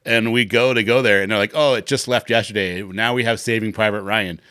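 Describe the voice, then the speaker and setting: A man's voice: lowish voice, steady tone, fairly quick, sharp tone, dry recording, studio quality. A man hosting a podcast in casual chat, with an informal, anecdotal way of talking. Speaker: podcast host.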